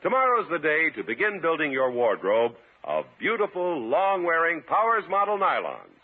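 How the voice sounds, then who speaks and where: A man's voice: fairly high pitch, huge pitch swings, moderate pace. A man announcing a scripted 1950s radio advertisement in a polished manner. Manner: polished, enumerating. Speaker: commercial announcer. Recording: thin, narrow 1950s radio recording.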